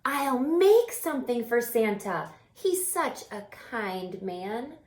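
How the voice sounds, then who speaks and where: Woman as an earnest little boy elf, high-pitched. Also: lively intonation, slow, a little mellow. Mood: earnest, pondering. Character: small earnest, selfless male Christmas elf. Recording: roomy, echoing room sound.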